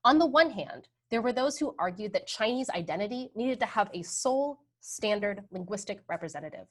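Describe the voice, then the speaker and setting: A woman in her thirties giving an academic history lecture over slides, relaxed and conversational, often checking in with "right?". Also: medium pitch, fairly quick. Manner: conversational, frequent "um" and "right?" tags.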